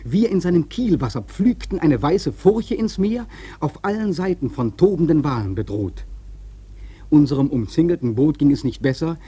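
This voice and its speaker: Radio drama narrator: male whaler, dark, very raspy voice, solemn literary storytelling. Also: a little high, animated, moderate pace. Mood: intense.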